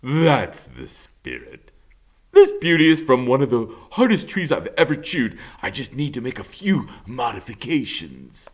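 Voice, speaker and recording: A man's voice: medium pitch, wildly swooping pitch, measured pace, pitched above the performer's own voice. A man voicing a cheerful, confident beaver craftsman in a storybook, with a hearty, slightly stammering, exclamatory delivery. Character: busy, confident old-fashioned male beaver craftsman, cheerful.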